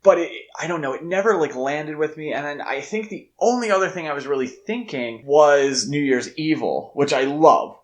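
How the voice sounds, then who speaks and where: A man's voice: fairly high pitch, normal speed, hardly any pauses, highs cut off. A man co-hosting a podcast in a casual film discussion, talking informally and leaning often on "like". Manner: informal, conversational, filler-heavy with frequent "like". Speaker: podcast co-host.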